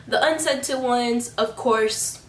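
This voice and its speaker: A woman's voice: on the high side, takes it slowly, recorded from a distance, a little hiss or hum. A young woman vlogger, chatting casually to camera. She sounds stuffy from a cold, and she rambles with frequent restarts and fillers. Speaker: beauty and hygiene vlogger. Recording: echoey room, voice a bit off-mic.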